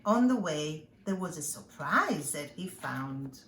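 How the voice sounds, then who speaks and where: Woman, preschool teacher, slow storybook reading, expressive, echoey, faint background noise. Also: low voice. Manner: simple, expressive storytelling for young children. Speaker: pre-K teacher reading aloud. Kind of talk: children's storybook read-aloud.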